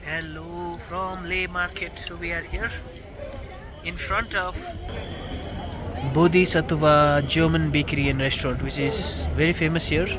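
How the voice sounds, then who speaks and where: A man's voice: a little high, fairly even intonation, measured pace, a crowd in the background. A man whose first language is Nepali, chatting to camera as a travel vlogger on a mountain trip, pointing out sights like a casual tour guide. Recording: noisy outdoor camera audio.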